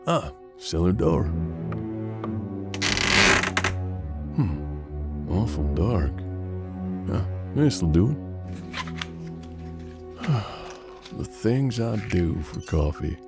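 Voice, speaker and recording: Slow, gravelly old-west bounty hunter. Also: male voice, low-pitched, sing-song, loud music behind the voice, heavily acted. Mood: weary muttering. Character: weary, gruff older male bounty hunter and mentor. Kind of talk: western audio drama acting.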